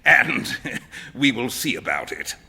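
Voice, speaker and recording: A man's voice: mid-range voice, sing-song, takes it slowly, creaky, sharp tone, breathy edge, big-room sound, full character voice. A man voicing a pompous, ill-tempered older retired colonel in a literary story reading. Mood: blustering condescension.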